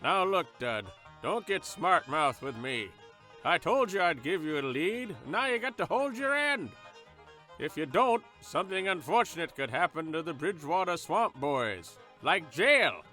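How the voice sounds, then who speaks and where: A man's voice: a little high, very animated, unhurried, sharp tone, faint music behind, exaggerated voice. A man voicing a crooked, bullying small-town deputy with folksy bluster in a horror radio play.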